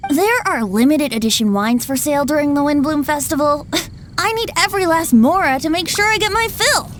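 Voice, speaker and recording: A woman's voice: high voice, lively intonation, moderate pace, a bit bright, slight background noise. A woman playing a boyish, playful and boastful bard spirit in a video game, with a light, youthful voice and theatrical manner. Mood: persuasive, breezy. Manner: playful, self-satisfied, theatrical. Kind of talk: English video game voice acting. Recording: clean game audio under music.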